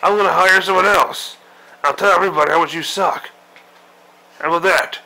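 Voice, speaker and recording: A man's voice: high voice, fairly even intonation, fairly quick, pushed and bright, exaggerated voice, poor audio. A man doing a grumpy old admiral. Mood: ranting. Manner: profane, griping rant. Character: grumpy old male admiral commander, crude and impatient. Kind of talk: comedic parody monologue.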